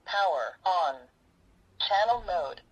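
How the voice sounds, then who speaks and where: A woman's voice: fairly low pitch, lively intonation, slow pace, muffled recording, background noise. A synthetic woman's voice giving short clipped prompts through a small radio speaker. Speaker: walkie-talkie voice prompt. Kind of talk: short clipped device announcements.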